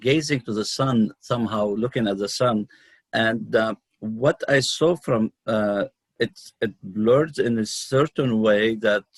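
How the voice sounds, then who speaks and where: A middle-aged man from Lebanon with a Lebanese accent, telling his own story as an interview guest, unscripted and halting, often pausing mid-sentence. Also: fairly low pitch, dry recording. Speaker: guest, numerologist and healer.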